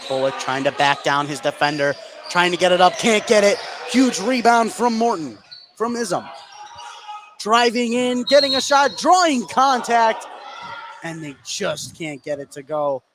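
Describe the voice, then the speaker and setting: A man with a fairly high voice announces live basketball on the radio. His calls come in short, clipped phrases and grow excitable as plays build. Clear gym noise and game ambience sit behind him. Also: unhurried. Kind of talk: live basketball play-by-play commentary. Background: basketball game ambience, bouncing balls.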